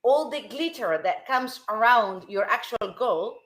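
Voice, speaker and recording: A woman from Germany, co-hosting a casual discussion, speaking emphatically and slowing down to stress key words. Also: fairly high pitch, animated, a bit bright. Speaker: co-host. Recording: roomy video call audio.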